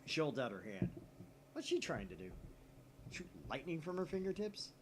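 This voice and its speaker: A man voicing a paranoid, theatrical young male mad scientist from a visual novel, excitable and suspicious. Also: fairly high pitch, normal speed, background noise, pitched above the performer's own voice. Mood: suspicious, melodramatic. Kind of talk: visual novel read aloud in character.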